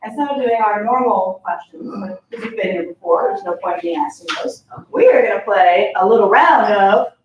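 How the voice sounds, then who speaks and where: A woman's voice: mid-range voice, expressive, a little fast, hardly any pauses, lo-fi sound. Woman host in very echoey room. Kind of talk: casual panel chat. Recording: very echoey room, mic far off, faint noise.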